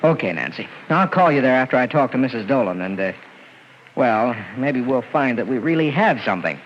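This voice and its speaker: A man's voice: mid-range voice, animated, fairly quick, close to an everyday voice. On a muffled vintage radio recording with faint noise, a man plays a practical investigator. His voice is mid-range, his delivery casual and musing, in radio drama style. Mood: reassuring. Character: level-headed male investigator, practical and considerate. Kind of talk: old-time radio drama acting.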